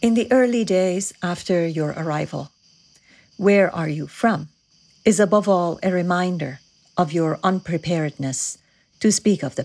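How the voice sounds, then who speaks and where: Husky, gravelly woman reading an excerpt. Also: deep voice. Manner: deliberate, even reading. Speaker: reader of a book excerpt. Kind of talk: reading aloud from a book.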